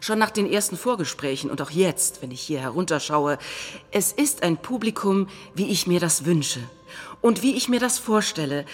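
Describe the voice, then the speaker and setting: An actress playing a smug, mocking far-right politician, giving a self-satisfied and derisive rally speech in a staged reading. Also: female voice, lowish voice, natural voice. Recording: reverberant hall.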